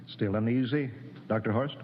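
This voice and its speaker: A man plays a calm, authoritative ship's captain in a vintage radio play. He gives clipped orders at a middling pitch. The recording is muffled and rings with long reverb. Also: normal speed, slightly rough, lightly acted. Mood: brisk, commanding. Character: steady, commanding male spaceship captain, calm and cautious. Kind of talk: 1950s radio drama acting.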